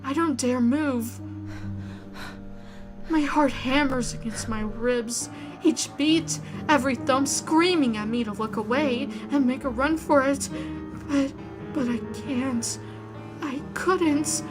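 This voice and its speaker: High-voiced woman reading a suspenseful story. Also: takes it slowly, over music. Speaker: visual novel let's-play narrator. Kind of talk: dramatic first-person story reading.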